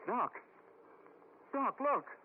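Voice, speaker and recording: Frantic, high-pitched frightened man. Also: expressive, heavily acted. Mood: alarmed. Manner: panicky, halting, repeating himself. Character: frightened, frantic man calling after the doctor. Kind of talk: old-time radio horror acting. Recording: muffled, tinny old radio recording, faint noise.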